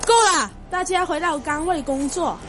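A female performer playing a brisk police team member in an amateur school radio drama. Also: sits high, noisy background, close to an everyday voice.

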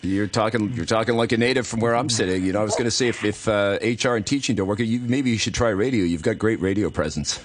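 A man's voice: lowish voice, quick pace, hardly any pauses, noisy background. A man hosting a radio talk show, talking in a friendly, encouraging, conversational way. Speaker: radio host.

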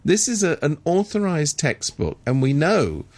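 A man's voice: fairly high pitch, sing-song, measured pace, darkish tone. A man talking as a regular guest on a radio show, indignant and sardonic, often restarting his sentences. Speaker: regular commentator. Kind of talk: radio studio discussion.

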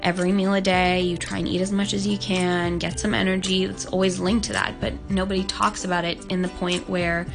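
A young woman from Hong Kong speaks earnestly and openly as an interview guest, her voice low for a woman and gravelly with fry. She leans on "like" a lot as she talks. Music plays behind her. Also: flat delivery, fairly quick, hardly any pauses. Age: young adult. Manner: earnest, open, many "like" fillers. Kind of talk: casual interview talk.

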